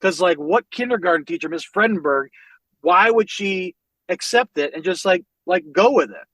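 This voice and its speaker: A man in his forties from the American Midwest, a podcast guest telling family stories in an animated, loose way, swearing freely and laughing as he talks. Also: high voice, moderate pace, no room sound, rough recording. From United States, Northwest Indiana. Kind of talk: casual storytelling conversation.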